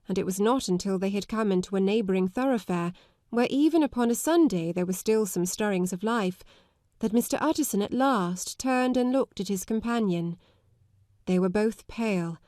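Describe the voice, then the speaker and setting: A woman reading literary narration as an audiobook narrator, in a measured, articulate manner. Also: mid-range voice, darkish tone, no room sound, studio quality.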